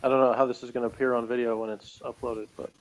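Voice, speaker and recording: A man's voice: medium pitch, little pitch movement, fast. A man hosting a casual review discussion, talking in a conversational, hedging way. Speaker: review show host. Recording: dull, lo-fi audio.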